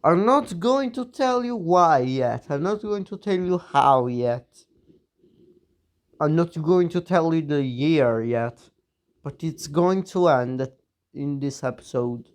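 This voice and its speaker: Slow, smooth-voiced young man narrating. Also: on the high side, animated, dry recording. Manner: rambling, offhand, teasing asides. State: a bit sick. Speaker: mapping video narrator. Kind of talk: casual narration over a map.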